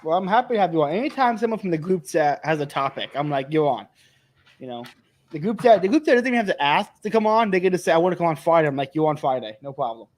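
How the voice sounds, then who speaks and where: A man's voice: a little high, big pitch swings, fast talker. A man hosting a live podcast, chatty and rambling, laughing often and a bit tipsy. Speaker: podcast host. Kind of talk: casual chat.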